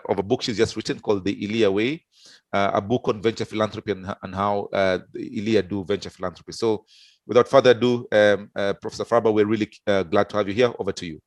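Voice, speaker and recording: A man with a fairly low voice hosts an online session and introduces the speaker. The audio is dry and close to the mic. He stops often to fill gaps with ums and uhs. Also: no echo. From Africa. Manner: halting, many ums and uhs. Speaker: webinar host.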